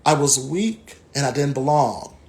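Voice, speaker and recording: An American man speaks as a guest reader at a book talk. His delivery is thoughtful and halting, broken by frequent pauses. His pitch sits in a middle range for a man, and the style is reflective. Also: animated, darkish tone. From Southern United States.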